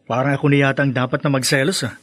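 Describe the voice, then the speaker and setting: An actor playing an easygoing, put-upon young boyfriend in a radio drama, bantering in a mock-aggrieved way. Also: male voice, mid-range voice, steady tone, very fast, fairly bright tone, dry recording, lightly acted.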